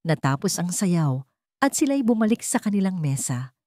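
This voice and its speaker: A woman's voice: on the low side, big pitch swings, moderate pace, darkish tone, no echo, clean studio sound. A woman reading a scripted dramatic story as its narrator.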